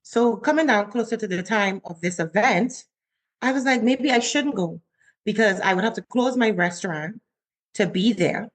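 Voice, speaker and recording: A woman's voice: moderate pace, poor audio. A woman in her forties from the Bahamas, a chef telling her story in a casual interview and acting out her own quotes. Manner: animated, acts out her own quotes with rising pitch. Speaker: chef, guest.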